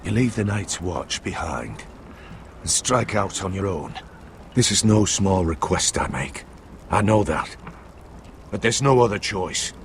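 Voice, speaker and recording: An actor playing a grave, devoted older uncle in a medieval fantasy game, speaking in a solemn, formal, measured way. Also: male voice, sits low, big pitch swings, slight background noise, heavily acted. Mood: grave, urging. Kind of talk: dramatic fantasy game acting.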